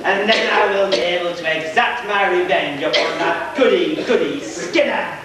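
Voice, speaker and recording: A man playing a pompous, scheming shoemaker villain in an amateur stage pantomime, with a grandiose, drawn-out delivery. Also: high-pitched, close to an everyday voice. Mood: gloating. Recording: echoey hall, distant mic, hissy old video.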